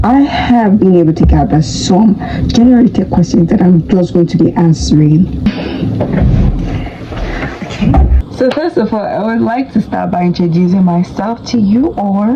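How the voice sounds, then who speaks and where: A young woman in her twenties chatting playfully to camera in a casual vlog, laughing often and jumping into high-pitched emphasis. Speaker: vlogger. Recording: dull lo-fi sound with clear background noise.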